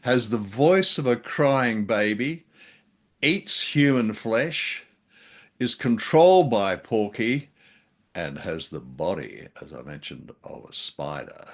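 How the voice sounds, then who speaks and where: A man with a fairly low voice chats as a co-host in a casual review. His delivery is wry and dryly humorous, with halting, hesitant phrasing. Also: very animated. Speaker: podcast co-host.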